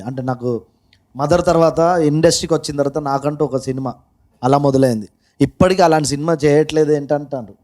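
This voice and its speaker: Fairly high-voiced man in casual interview. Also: darkish tone. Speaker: film team member in a promotional interview. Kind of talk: casual, halting interview remarks.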